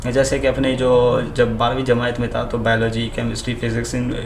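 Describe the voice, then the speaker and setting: A young man with an Urdu accent, a native Urdu speaker, giving language-learning advice in a halting casual vlog style full of fillers and restarts, switching between English and Urdu. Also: medium pitch, little pitch movement, creaky. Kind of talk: casual talking to camera. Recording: echoey room with faint ambient noise.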